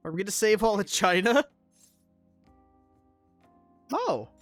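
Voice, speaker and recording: A woman's voice: medium pitch, sing-song, takes it slowly. A woman streaming a game, reacting casually with playful, joking remarks. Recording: roomy echo with game music.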